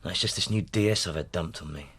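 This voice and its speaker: An actor playing a weary, defensive police detective and husband with a British accent in a naturalistic radio drama. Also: male voice, low-pitched, quick pace, a bit bright, husky, exaggerated voice. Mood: frustrated. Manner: exasperated, earnest.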